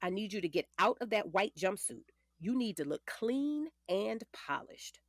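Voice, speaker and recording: A woman's voice: medium pitch, sing-song, moderate pace, no echo, studio quality. A woman playing a confident, matter-of-fact lawyer in her late forties in an audio drama, firm, precise and commanding. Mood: instructive.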